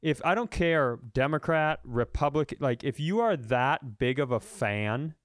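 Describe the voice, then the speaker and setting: A man's voice: medium pitch, animated, a little slow, no echo, studio quality. An American man co-hosting a comedy podcast, ranting casually in a blunt, profane, mocking way.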